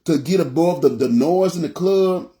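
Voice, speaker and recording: Man, pastor preaching on a livestream, deep warm voice, casual street talk, keeps checking "you know what I'm saying?". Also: moderate pace. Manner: acts out quoted lines.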